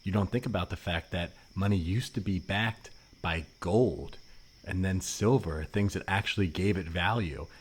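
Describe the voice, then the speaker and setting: Guy doing a low voiced ex-anarchist dude, suspicious and earnest, kinda halting, improv comedy podcast. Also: slightly rough. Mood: earnest, conspiratorial. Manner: halting, rambling, earnest explaining. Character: rambling male conspiracy-minded former anarchist, earnest and suspicious.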